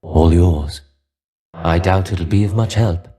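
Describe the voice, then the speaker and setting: A man's voice: low-pitched, slow, warm tone. A man voicing a weary, brooding dead Greek warrior in a video game, resigned and aloof, with formal, archaic phrasing. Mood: resigned, flat. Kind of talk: video game character dialogue.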